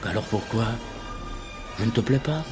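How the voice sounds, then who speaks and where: Actor as hesitant, smitten gentleman over music. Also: on the low side, lively intonation, normal speed, lightly acted. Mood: amorous, eager. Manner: earnest, hesitant, stammering. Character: smitten, respectable wealthy bachelor, timid man. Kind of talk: French radio play acting.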